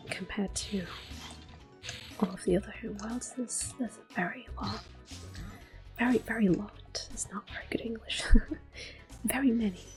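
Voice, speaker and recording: Hushed, whispering woman doing ASMR gaming. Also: a little high, music in the background. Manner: gentle, unhurried, hushed. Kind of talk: soft-spoken, whispered ASMR game commentary.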